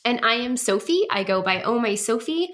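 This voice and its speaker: A woman's voice: a little high, lively intonation, normal speed, fairly bright tone. A woman with an American accent, co-hosting a podcast in casual chat. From born in Wales, in the United States from age seven. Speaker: podcast co-host.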